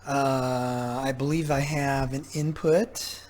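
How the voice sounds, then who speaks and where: A man talking casually and haltingly as he thinks aloud, giving hands-on commentary as a repair vlogger. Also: mid-range voice, steady tone, a little mellow, slight background noise. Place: indoor repair workshop.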